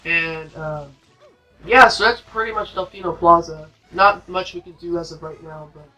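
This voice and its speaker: A man's voice: a little high, fairly even intonation, moderate pace, pushed and bright, low-quality recording. A man hosting a let's play, giving casual, rambling, informal commentary as he plays a video game. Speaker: gaming video host. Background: game music.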